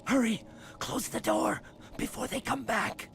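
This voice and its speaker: A man's voice: very high voice, animated, measured pace, vocal fry, fairly bright tone, soft background music, full character voice. A man playing an earnest, vigilant military officer in a video game, with a grave way of speaking. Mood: urgent.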